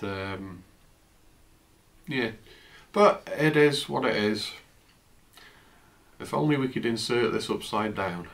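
A man in his fifties, croaky from a flu, chatting casually through an unboxing in his home office, recorded on a camera's built-in mic. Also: mid-range voice. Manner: relaxed, chatty, trails off. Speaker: tech vlogger. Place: home office, indoors, seated at a desk.

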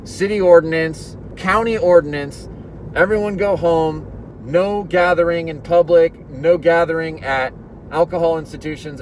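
Young man, car vlogger, slightly high voice, muffled through a mask, faint road hum behind. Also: a bit bright. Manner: candid, chatty, worried. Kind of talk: casual vlog commentary. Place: inside a car. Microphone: camera on the dashboard. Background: faint car and street noise.

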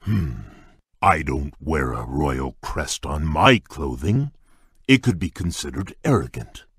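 An actor playing a large, shy, polite beast prince in a video game, speaking in a courteous, formal, earnest way with a husky voice. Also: male voice, big pitch swings, slow, a bit bright, no room sound, studio quality, heavily acted. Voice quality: deep husky voice. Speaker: game character. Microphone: dry close studio mic.

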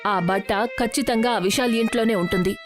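Greedy scheming landlady played by a woman, high-pitched. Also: fairly quick, over music, natural voice.

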